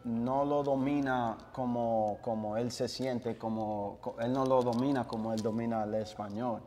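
A man's voice: mid-range voice, a little slow, long reverb tail, faint music behind. A young man, a guest musician born in the United States, chatting casually in an interview and dropping English words into his Spanish. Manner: mixes English words into Spanish, relaxed and laid-back. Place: small office with couches, indoors.

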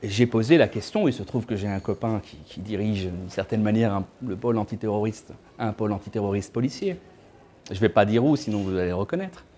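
A man in his thirties lectures to a small audience from his seat in an indoor room by a window, picked up by a camera a short way off. His voice is soft and warm. He keeps the tone loose and chatty, slipping in playful asides to the listeners. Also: on the low side, a little fast, slight background noise. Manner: conversational, with joking asides to the audience. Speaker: guest speaker.

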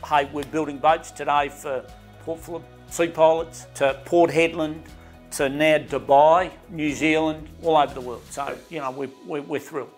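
A middle-aged Australian man talks eagerly about his boat factory, his voice a touch higher than most men's. He stumbles and restarts often, and quiet music runs beneath the interview. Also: steady tone, moderate pace. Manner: enthusiastic, rambling with restarts and "you know". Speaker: boatbuilding company owner. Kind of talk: interview answers. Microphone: lapel mic. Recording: faint background music.

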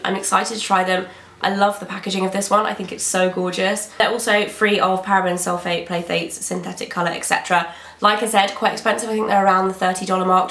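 A young British woman chatting through a beauty haul, her voice slightly croaky from a cold. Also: mid-range voice, a bit bright, echoey room. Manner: chatty, gushing about products. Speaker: beauty vlogger. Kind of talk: casual haul video chat. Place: bedroom filming set indoors.

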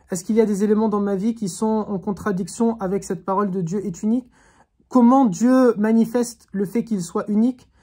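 A woman gives a religious lesson over a video call. Her pitch sits in a middle range, and she speaks in an earnest, reflective way. She often pauses to think, then presses her point home. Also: dark tone. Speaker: Quran teacher. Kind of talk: online religious class.

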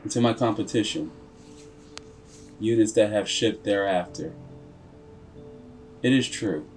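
A man narrating a scripted story in the first person as a grave, proud machine character, solemn and deliberate, with dramatic pauses. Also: fairly low pitch. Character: computer processor telling its own story as a grave, proud character. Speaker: video narrator. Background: music.

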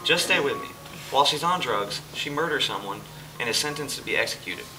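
A man playing a calm, patient Jesus. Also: medium pitch, a little fast, lightly acted. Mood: earnest, patient. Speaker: church play actor. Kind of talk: amateur church stage play. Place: church hall stage, indoors. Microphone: far camera. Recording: distant camera with music behind.

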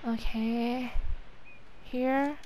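A woman's voice: a little high, fairly even intonation, very slow, a little mellow, slight background noise, poor audio. A woman commentating on her own gameplay, talking casually to herself in short phrases. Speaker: gamer commentating a playthrough. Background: video game music and sounds.

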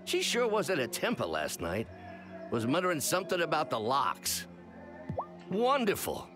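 Folksy old fisherman, lively pitch. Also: moderate pace, music in the background. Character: old folksy fisherman shopkeeper, friendly male mentor. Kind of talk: video game voice acting.

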